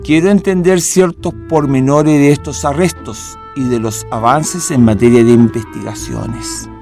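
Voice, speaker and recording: A man with a Chilean accent acting a grave president who questions formally and deliberately, in a historical radio drama. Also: mid-range voice, darkish tone, faint music behind, natural voice. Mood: measured, inquiring. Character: grave, probing male president questioning his adviser.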